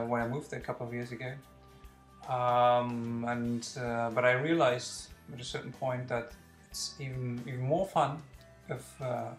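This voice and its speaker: A man, answering interview questions hesitantly and searching for words. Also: medium pitch. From Germany. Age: 40s. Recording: echoey room.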